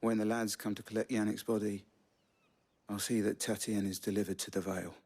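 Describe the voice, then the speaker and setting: An actor playing a world-weary soldier in a video game, talking in a blunt, wry, matter-of-fact way. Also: male voice, on the low side, level tone, brisk, darkish tone. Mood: resigned. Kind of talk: cinematic video game dialogue.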